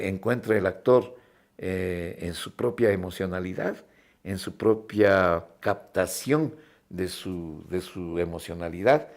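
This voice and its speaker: A man speaking reflectively in an interview as a drama director, deliberate and halting. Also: low-pitched.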